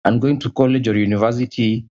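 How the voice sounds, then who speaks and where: A man's voice: medium pitch, fairly even intonation, fast talker, a little mellow, highs cut off. A man, answering interview questions hesitantly and often repeating a word as he recalls his past. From Kenya. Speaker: guest.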